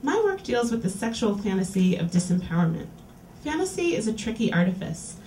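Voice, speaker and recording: A woman's voice: medium pitch, very animated, mellow, a little hiss or hum. A woman hosting a reading series, reading an erotica piece aloud to a live audience in a small lounge. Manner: clear, deliberate reading-aloud delivery. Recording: roomy, distant live capture.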